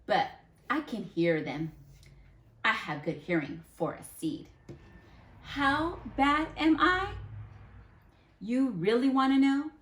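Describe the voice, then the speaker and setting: A woman voicing a boastful, self-confessed bad sunflower seed from a children's storybook, with dramatic drawn-out words. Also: high-pitched, very animated, a bit bright, echoey room, voice pushed up, full character voice. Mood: dramatic boasting. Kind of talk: children's storybook character read aloud.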